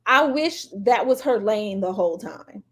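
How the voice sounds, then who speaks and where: An American woman co-hosts a casual podcast with a husky, slightly rough voice at a middling female pitch. Her talk is candid and slangy, with emphatic opinions. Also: lively intonation, measured pace, a bit bright. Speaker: podcast co-host.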